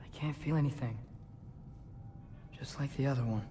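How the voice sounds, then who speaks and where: A man's voice: medium pitch, normal speed, warm tone, slight background noise, lightly acted. An actor playing an earnest, impulsive teenage boy in a video game, speaking hesitantly and with compassion. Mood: somber. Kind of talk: naturalistic video game acting.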